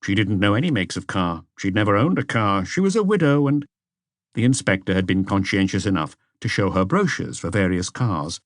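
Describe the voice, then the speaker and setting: A man narrates a mystery audiobook in a fairly low voice. The recording is close and dry, with very little room around the words. He reads from a script as a storyteller. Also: lively intonation, fairly quick, no echo. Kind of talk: scripted narration of a detective novel.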